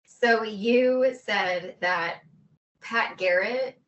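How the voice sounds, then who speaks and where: A woman's voice: mid-range voice, very slow, fairly bright tone, recorded from a distance, dull audio. A woman interviewing in a casual, joking chat, with playful, reactive interjections. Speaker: podcast interviewer.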